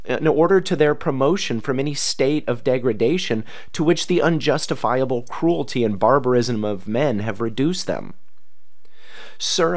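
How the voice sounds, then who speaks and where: A man reads a historical letter aloud in a formal, oratorical manner with emphatic pauses. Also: medium pitch. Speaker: reader.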